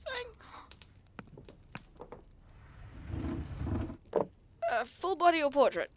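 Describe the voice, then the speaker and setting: A woman's voice: very high-pitched, wildly swooping pitch, moderate pace, background noise, heavily acted. A woman playing an eager, easygoing young artist in an audio drama, casual and a little hesitant. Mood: polite, hesitant.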